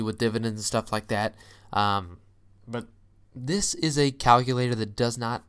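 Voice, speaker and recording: A man's voice: mid-range voice, fairly bright tone, smooth. A young man giving an informal, emphatic explainer as a channel host, peppered with folksy exclamations and rhetorical questions. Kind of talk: informal explainer, walking through a calculator.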